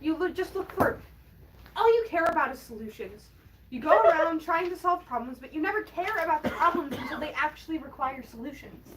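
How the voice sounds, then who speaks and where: Actress as an exasperated, very high-voiced woman. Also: expressive, fairly quick, distant mic, background noise. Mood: accusing. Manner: emphatic, exasperated, sarcastic, swearing. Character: distraught, indignant young woman fretting over extinct bees. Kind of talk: naturalistic audio drama dialogue.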